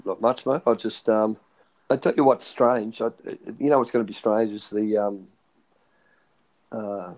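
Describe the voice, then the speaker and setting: Man, Australian accent, hoarse, phlegmy throat, laid-back, hesitant, somewhat low voice. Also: fairly even intonation, a little slow, pauses often, a little mellow. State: hoarse, partly lost voice. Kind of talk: casual chat.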